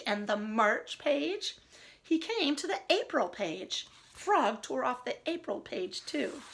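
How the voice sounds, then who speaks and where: A woman teacher reading a story aloud and giving simple instructions to young children, expressive and explanatory. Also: a little high.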